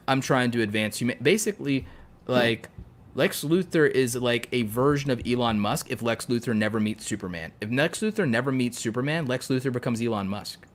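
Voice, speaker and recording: A man chatting casually as a podcast co-host, riffing playfully and repeating himself for emphasis, with some swearing. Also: mid-range voice. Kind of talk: casual chat about fictional universes.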